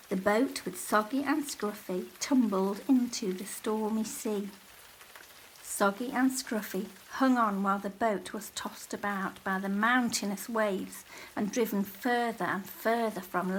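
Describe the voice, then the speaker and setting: A woman narrating a children's story aloud with expressive, dramatic delivery. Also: medium pitch, a little hiss or hum, rough recording.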